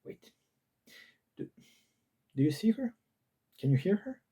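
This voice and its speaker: A man's voice: high-pitched, big pitch swings, slow pace, dark tone, husky, distant mic, pitched above the performer's own voice, heavily acted. A man playing a bewildered, overwhelmed main character in a fiction reading. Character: bewildered narrator, overwhelmed by magic.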